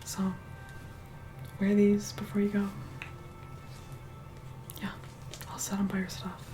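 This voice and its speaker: A woman playing an aloof, reluctantly caring vampire woman in an ASMR roleplay, soft-spoken and breathy, often whispering, slow and condescending. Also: medium pitch, completely flat delivery, lightly acted. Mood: bossy, aloof. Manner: slow, condescending, grudgingly kind. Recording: loud music behind the voice. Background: music.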